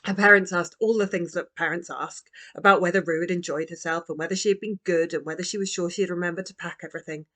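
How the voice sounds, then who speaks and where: A woman narrating a children's storybook as its author, in a gentle, expressive manner. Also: on the low side, fast, few pauses. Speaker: author reading own book.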